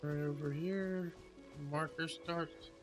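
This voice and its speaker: Guy doing casual game commentary, muttering along, highish voice, soft game music. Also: steady tone, takes it slowly. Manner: muttering to himself, reading on-screen text aloud. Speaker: video game player.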